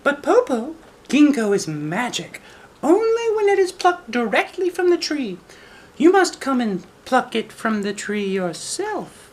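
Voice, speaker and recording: Man putting on a high sing-song little girl. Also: huge pitch swings, measured pace, heavily acted. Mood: sweetly coaxing. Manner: sing-song falsetto, sweetly pretending. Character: clever eldest little Chinese girl coaxing a wolf. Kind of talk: storybook read aloud.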